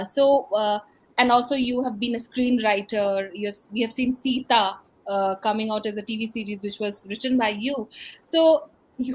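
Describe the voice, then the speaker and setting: A woman's voice: fairly high pitch, rough recording. A woman with an Indian accent hosting a conversational interview. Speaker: interviewer, host.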